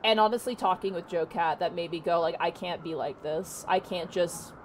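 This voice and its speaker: A woman with a naturally low voice, rambling casually with lots of fillers while streaming. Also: fairly even intonation, moderate pace, slight background noise. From Canada. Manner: rambling, many fillers and restarts. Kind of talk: casual chat on a livestream.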